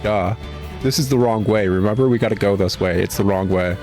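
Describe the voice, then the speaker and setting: A man's voice: fairly low pitch, a little fast, a little mellow, soft background music. A man giving a casual, blunt, sarcastic and exasperated critique while commenting on a video game. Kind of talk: casual game critique commentary, reading cutscene dialogue aloud.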